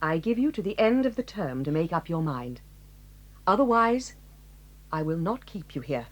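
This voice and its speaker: An actress playing a stern, dignified headmistress who reproves pupils in a measured way, in a 1980s audio drama. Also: female voice, fairly low pitch, very animated.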